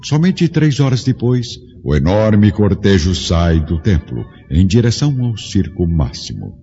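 A man giving measured, grave scripted narration for a radio drama. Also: sits low, big pitch swings, dark tone, husky, dull audio, soft background music.

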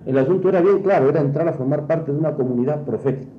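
A man's voice: on the high side, racing. A man giving explanatory commentary, didactic, building his points through repetition. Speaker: expert commentator. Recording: dull, roomy, slightly noisy interview recording.

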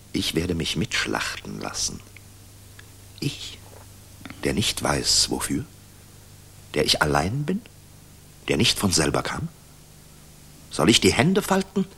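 A husky, low, intense general. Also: male voice, very animated, slow pace, long pauses, heavily acted. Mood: bitter, challenging. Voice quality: husky, often breathy half-whisper. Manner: intense, probing rhetorical questions, swinging from hushed to explosive. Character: dashing German air force general, bitter, defiant, anguished. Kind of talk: 1960s German radio drama acting.

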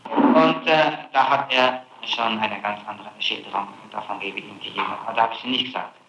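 A man questioning a suspect as public prosecutor, probing and halting, often tacking on tag questions. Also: on the low side, steady tone, muffled recording. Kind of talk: interrogation. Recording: noisy echoey archival interrogation tape.

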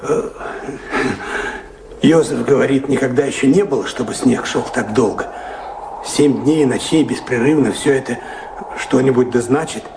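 A man's voice: mid-range voice, moderate pace, vocal fry, pauses often, noisy background, natural voice. An actor playing a talkative, deferential castle servant in a radio play, naive and awed, hesitant and rambling in his speech. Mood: anxious awe. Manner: hesitant, rambling, servile, with frequent little fillers.

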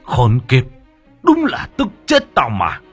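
A man's voice: medium pitch, wildly swooping pitch, slow, breathy edge, faint music behind, voice pushed up, exaggerated voice. A man acting a furious, ranting middle-aged patriarch. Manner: ranting, cursing, repeating himself in rage. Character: furious domineering middle-aged father, opera troupe boss. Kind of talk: acted dialogue in audio storytelling.

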